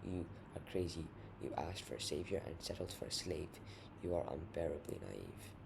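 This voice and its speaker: Noisy recording, deep creaky tyrant voiced by a man, mocking, coaxing, theatrical storybook villain. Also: big pitch swings, normal speed, heavily acted. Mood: scornful. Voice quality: deep, booming, gravelly. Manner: theatrical, repetitive, coaxing menace. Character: menacing, mocking, unholy male tyrant, the suzerain. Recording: noisy amateur home recording.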